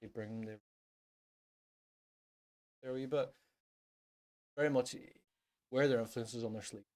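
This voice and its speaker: A man with a Northern Irish accent chatting casually as a music podcast host, in a halting way that circles back to stress his points. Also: medium pitch, steady tone, a little mellow. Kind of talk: casual chat.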